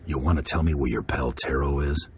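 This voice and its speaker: An actor playing a curt, deadpan bounty hunter who trades threats with criminals in a game cutscene. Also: male voice, very deep voice, a little fast, background noise. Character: gruff male bounty hunter, dry and threatening. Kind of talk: video game cutscene acting.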